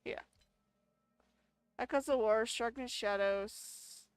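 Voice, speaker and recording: A woman's voice: a little high, slow pace, smooth, no echo, soft background music. A woman giving casual gameplay commentary, informally thinking aloud as she plays. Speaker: gamer playing a role-playing game for viewers.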